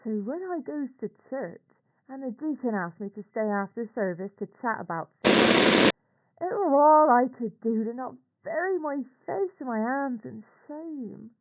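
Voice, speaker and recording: An actress playing a middle-aged working-class aunt with a Northern English accent, confiding in a halting, colloquial way in a horror audio log, through a radio-like filter. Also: female voice, high voice, animated, heavily acted. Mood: exasperated, ashamed. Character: working-class English aunt, a nurse, anxious, ashamed, recounting events. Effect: radio or telephone-like filter. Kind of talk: scripted confessional monologue for horror audio log. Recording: tinny, band-limited audio log recording.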